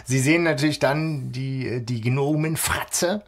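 A man's voice: mid-range voice, unhurried. A man co-hosting a podcast in casual, colloquial chat, feeling his way through loosely built phrases. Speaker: podcast co-host. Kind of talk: casual chat discussing an audio drama.

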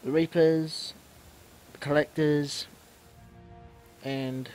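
A man's voice: a little high, little pitch movement, very slow, a little mellow, faint music behind. A man with a stuffy, snuffly voice from a cold gives casual commentary on his own video game match. He often rambles and stops to correct himself. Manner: rambling, self-correcting, restarts words.

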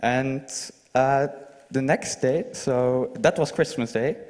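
A young Flemish man speaks casually and haltingly at a conference, holding a microphone. His voice has a middle pitch and rings through a big lecture hall with long reverb. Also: darkish tone. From Flanders, Belgium. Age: young adult. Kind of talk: informal lightning talk to an audience. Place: large lecture hall, indoors. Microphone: handheld microphone.

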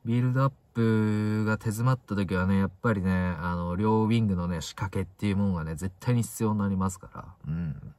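A man's voice: fairly low pitch, fairly quick, hardly any pauses, darkish tone, dry recording. A man in his twenties giving casual solo football commentary, stressing the starts of phrases and then trailing off. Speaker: football fan vlogger. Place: inside a parked car.

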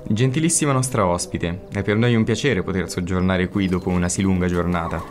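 An actor playing a courteous, formal young prince in an Italian radio drama. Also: male voice, sits low, a little fast, soft background music, natural voice. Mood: polite.

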